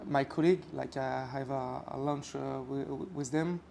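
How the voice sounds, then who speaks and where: A young man from France with a French accent, chatting casually as a podcast guest and often pausing to search for English words. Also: medium pitch, fairly even intonation, gravelly, warm tone, slight background noise. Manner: halting, searches for English words with many fillers.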